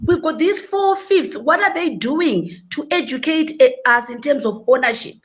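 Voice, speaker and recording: A woman panelist speaking earnestly and deliberately in a talk show discussion. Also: high voice, animated, lo-fi sound. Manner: earnest, deliberate, self-critical. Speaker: panelist, education leader.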